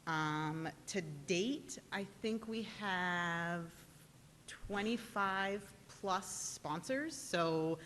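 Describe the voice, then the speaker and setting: A woman's voice: lowish voice, drawn-out words, a bit bright. A woman teacher speaking for the parent group at a board meeting, bubbly, chatty and a little self-conscious. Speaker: teacher and PTO volunteer. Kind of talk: casual presentation at a meeting. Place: town meeting room. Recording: reverberant meeting room with faint noise.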